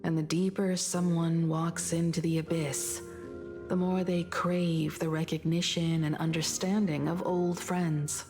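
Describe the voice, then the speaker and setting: An actress playing a composed adult woman who speaks calmly and earnestly about an old friend, in a game. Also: fairly low pitch, unhurried. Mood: reflective. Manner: calm, reflective and earnest. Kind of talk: game voice acting. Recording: game audio over loud music. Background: game music.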